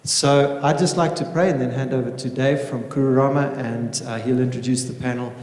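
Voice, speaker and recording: A man's voice: medium pitch, steady tone, a little fast, darkish tone. A man speaking informally as a teacher opening a panel evening, addressing a room and praying. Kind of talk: informal welcome speech and spoken prayer. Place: indoor room with small audience. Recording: echoey reverberant room.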